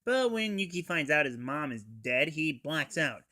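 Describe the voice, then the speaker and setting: A man's voice: a little high, big pitch swings, unhurried, bright, forceful tone, no echo, studio quality. A teenage male reviewer giving an informal, sweary, scripted comic recap. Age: teens. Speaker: anime reviewer.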